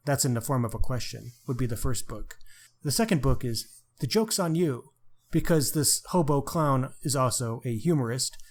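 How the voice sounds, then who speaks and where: A man's voice: medium pitch, animated, normal speed. Casual male podcast co-host. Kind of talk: casual podcast talk about crime books.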